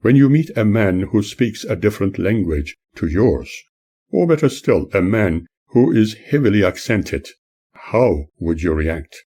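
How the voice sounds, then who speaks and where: Husky, low-voiced man reading measured narration. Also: big pitch swings, mellow. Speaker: author reading own book. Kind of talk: scripted narration, audiobook-like reading.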